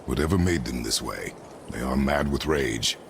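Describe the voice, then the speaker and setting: An actor playing a stern, gruff warrior in a video game, gravelly and rumbling, speaking in terse, measured sentences. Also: male voice, expressive, slight background noise, full character voice. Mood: grim, certain. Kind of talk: video game dramatic acting.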